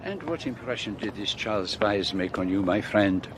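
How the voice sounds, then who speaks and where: An actor playing a courteous, probing middle-aged foreign detective in a radio drama, with a French accent, formal and elaborately polite. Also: male voice, on the low side, animated, normal speed, slight background noise, close to an everyday voice. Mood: musing, probing.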